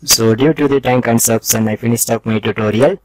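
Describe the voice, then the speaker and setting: A man's voice: mid-range voice, fairly quick, darkish tone, no room sound, rough recording. A man hosting a tech tutorial, narrating scripted step-by-step instructions in a formulaic, instructional way.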